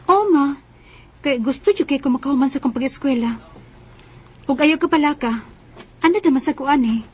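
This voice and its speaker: An actress playing an earnest, eager nineteen-year-old working student with a Cebuano accent in a radio drama. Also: female voice, high-pitched, normal speed, darkish tone, lightly acted. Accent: Cebuano Filipino. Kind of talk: Filipino radio drama acting and narration.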